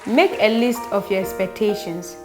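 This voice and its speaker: A woman's voice: mid-range voice, normal speed, a crowd in the background. A woman reading scripted, reflective questions as narration. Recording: piano music behind.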